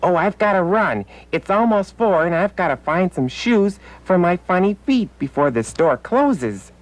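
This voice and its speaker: Children's TV puppet: childlike male, eager, highly animated pitch, emphatic teacherly repetition. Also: a little slow, poor audio. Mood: cheerful, excited. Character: eager childlike male puppet letter with big funny feet. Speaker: puppet character on educational show. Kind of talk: children's educational puppet show dialogue.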